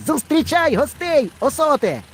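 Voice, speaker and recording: A man's voice: very high-pitched, sing-song, measured pace, slight background noise, pitched above the performer's own voice, heavily acted. A man voicing a plucky, mischievous little boy hero in a children's storybook, eager and exclamatory. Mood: bold shouting.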